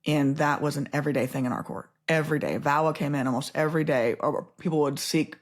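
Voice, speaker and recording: A woman's voice: deep voice, steady tone, fast. A middle-aged woman from Canada chatting casually as an interview guest, with a self-deprecating, talkative manner. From Winnipeg, Canada. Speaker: podcast guest, former family court judge. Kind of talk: casual chat.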